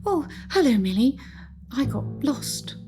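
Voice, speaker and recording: Woman acting a high-voiced, bewildered young lady. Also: big pitch swings, a little slow. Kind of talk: audio drama acting. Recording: music under the voice.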